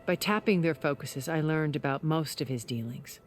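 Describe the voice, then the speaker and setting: An actress playing a poised, calculating middle-aged woman in a video game, speaking in a measured, articulate, self-assured way. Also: low-pitched, slight background noise, lightly acted. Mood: wry, confident. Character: poised, calculating woman, ageless schemer from space. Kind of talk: video game cutscene acting.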